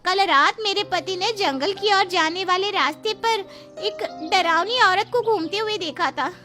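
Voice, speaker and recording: Naive village girl for a cartoon female actor, anxious, piercingly high pitch over clear music. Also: a little slow, pushed and bright, heavily acted. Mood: fearful. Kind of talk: cartoon story dialogue.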